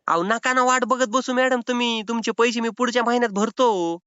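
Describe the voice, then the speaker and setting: A man's voice: very high voice, fairly even intonation, fairly quick, sharp tone, clear, resonant tone, dry recording. A man playing a cheeky, evasive rural Maharashtrian borrower with a whiny, argumentative way of stalling in a comic phone call. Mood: placating. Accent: rural Maharashtrian Marathi. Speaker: prank caller playing a loan defaulter. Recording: slightly dull phone-call audio.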